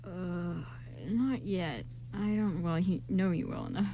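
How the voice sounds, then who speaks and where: A woman's voice: lowish voice, steady tone, measured pace, highs cut off, a little hiss or hum. A woman playing a blunt, wary but loyal male friend in an amateur radio play, with a hesitant, slangy delivery.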